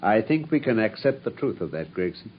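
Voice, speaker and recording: Victorian detective explaining his method, british, precise, very expressive pitch, muffled radio recording. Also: brisk, a little hiss or hum. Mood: composed. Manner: precise, lecturing, self-assured. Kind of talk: radio drama acting.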